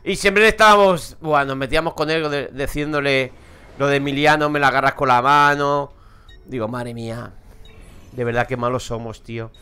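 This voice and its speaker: A man's voice: medium pitch, fairly even intonation, moderate pace, bright, forceful tone, clear, resonant tone, soft background music. A man from Madrid with a Madrid Spanish accent, streaming a game and chatting casually, swearing and laughing at his own stories. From Madrid, Spain. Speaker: gaming streamer. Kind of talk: casual let's-play commentary. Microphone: headset.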